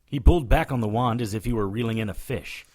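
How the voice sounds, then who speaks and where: A man's voice: mid-range voice, fast, a little mellow, natural voice. A man playing a wry, cocky witch hunter who narrates and speaks in an audio drama, dry and sardonic. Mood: grave narrating. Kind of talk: first-person audio drama narration and dialogue.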